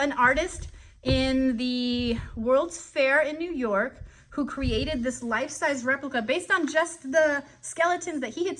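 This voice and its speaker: An animated woman hosting an informal live lesson for children, chatty and often checking in with questions. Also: high-pitched. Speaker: children's educational show host.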